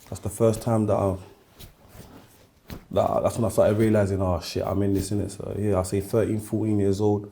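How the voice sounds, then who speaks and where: A man's voice: lowish voice, warm tone. A man with a South-East London accent chatting casually as a podcast guest, talking in slangy street style. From Woolwich, London; born in France. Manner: slangy, uses tags like "innit" and "you get me".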